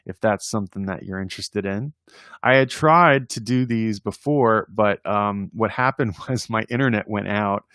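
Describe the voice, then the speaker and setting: A man hosting a casual read-aloud of children's poems, playful and expressive in verse, with informal chatty asides. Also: lowish voice, no echo, studio quality. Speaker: host reading poems aloud on a livestream.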